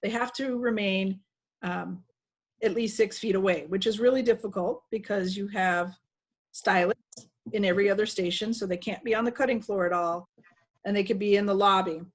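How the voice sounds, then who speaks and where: A woman's voice: medium pitch, fairly even intonation, normal speed. A woman trainer giving an informal, instructive walkthrough to staff over a video call. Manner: instructive, conversational. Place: video call with screen sharing.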